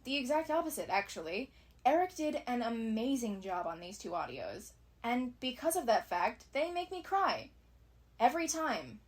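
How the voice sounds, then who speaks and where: Female video essayist, slightly high voice; conversational scripted analysis, opinionated, with dry wry asides. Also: a bit bright.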